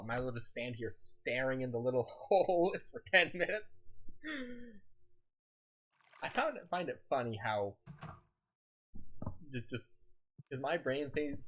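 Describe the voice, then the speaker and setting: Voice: medium pitch, fairly bright tone, husky. A streamer chatting casually while playing, rambling and laughing often, a little sick with a scratchy throat. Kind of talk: casual gameplay chat.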